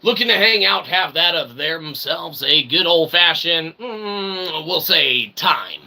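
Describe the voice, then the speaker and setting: A man's voice: on the high side, lively intonation, slow pace, pushed and bright. A man hosting a comedy show with a theatrical showman's delivery, rambling in wordy, mock-formal phrases and repeated catchphrases. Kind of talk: rambling comic monologue to an audience. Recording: clearly noisy recording.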